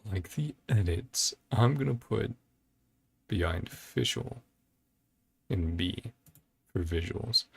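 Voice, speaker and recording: A man's voice: lowish voice, vocal fry, husky. A man giving casual, off-the-cuff reaction commentary, thinking aloud in short broken phrases. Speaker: host of a reaction and ranking video. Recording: music playing behind the voice.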